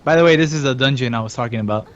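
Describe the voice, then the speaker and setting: A man's voice: mid-range voice, racing. A man chatting casually and jokingly as guest co-commentator on a game stream. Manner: joking, giggly. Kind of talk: casual chat. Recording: muffled voice-chat audio, music behind. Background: game music.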